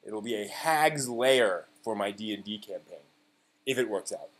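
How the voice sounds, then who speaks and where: A man hosting a casual live stream, replying to viewers in a halting way that often trails off mid-thought. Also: on the low side, expressive. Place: indoor room at home with printer running. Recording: roomy echo, faint buzzing 3D printer noise. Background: faint buzz of a 3D printer.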